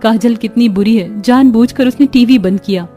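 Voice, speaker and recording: A woman's voice: a little high, moderate pace, mellow, lightly acted. A woman voicing a poor, sensitive young sister-in-law in a cartoon, caring and plaintive. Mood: hurt, wistful. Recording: music behind the voice.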